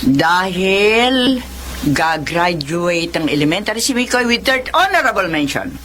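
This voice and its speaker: Chatty doting filipino lola, radio drama, super animated, noisy ambience behind. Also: female voice, lowish voice, a little slow. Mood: teasing, delighted. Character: doting older mother and grandmother, chatty, warm-hearted. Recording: clear background noise and ambience.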